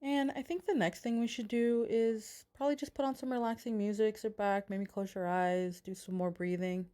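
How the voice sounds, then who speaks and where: A soft-spoken woman doing an ASMR self-care roleplay, gently guiding the listener in a soothing manner. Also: mid-range voice, steady tone, moderate pace. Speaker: ASMR creator, host.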